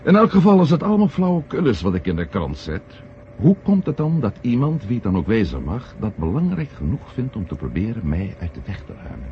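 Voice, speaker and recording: An actor playing an insistent, argumentative former astronaut turned journalist in a 1970 radio play, in speech. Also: male voice, lowish voice, wildly swooping pitch, brisk. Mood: wry, probing. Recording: dull, noisy old radio recording.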